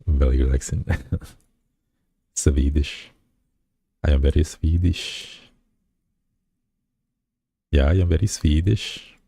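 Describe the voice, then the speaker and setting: A man's voice: very deep voice, slow pace, creaky, long pauses, warm tone, husky, no room sound. A man streaming as a VTuber who chats casually and reads his chat aloud. He rambles and teases himself, with lots of fillers and restarts, recorded on a close desk mic at home. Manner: rambling, self-deprecating, many fillers and restarts.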